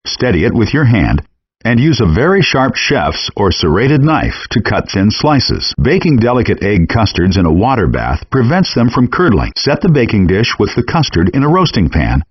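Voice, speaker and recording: A man narrates instructions for a cooking game in a fairly low, slightly husky voice. His intonation moves up and down a lot while the delivery stays measured and instructional. The sound is dull and compressed, like handheld game audio. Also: sing-song.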